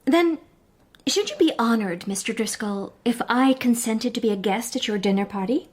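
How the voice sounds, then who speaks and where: A woman's voice: on the high side, moderate pace, close to an everyday voice. Actress playing poised young society lady detective. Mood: composed, probing. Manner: poised, wry, genteel. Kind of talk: period radio mystery drama acting.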